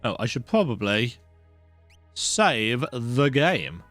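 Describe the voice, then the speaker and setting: Lively, animated man commentating on a game. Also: mid-range voice, slow, dry recording. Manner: chatty, self-deprecating. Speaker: let's play host. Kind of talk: casual gameplay commentary. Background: video game music.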